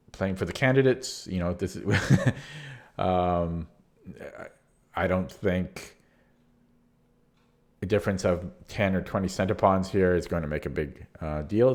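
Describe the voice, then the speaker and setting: A man, losing his voice, hesitantly and candidly talking through a chess game he played, reading from his notes. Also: sits low. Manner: hesitant, many restarts, relaxed and candid. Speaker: chess channel host reviewing his own game. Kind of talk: casual game analysis from notes.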